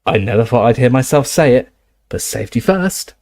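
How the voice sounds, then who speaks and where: A man's voice: medium pitch, animated, brisk, a little mellow, close to an everyday voice. Brief: joking young radio guest, wry and playful, a man reading the part. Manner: wry and jokey.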